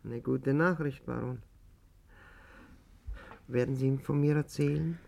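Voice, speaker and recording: An actor playing a weary, wise older exile, measured and gently resigned, in a 1950s German radio play. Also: male voice, mid-range voice, steady tone, vocal fry. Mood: courteous.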